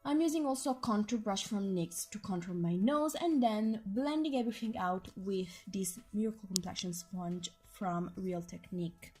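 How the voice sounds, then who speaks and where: A young woman with a hoarse, strained voice talks through a makeup tutorial in a chatty, enthusiastic voiceover. Her pitch sits in a middle range, and soft music plays faintly behind her. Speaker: beauty vlogger. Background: soft background music.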